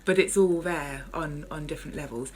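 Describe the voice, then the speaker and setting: A woman's voice: low voice, moderate pace, darkish tone, slight background noise. A woman author speaking and reading from her memoir in a wry, understated way.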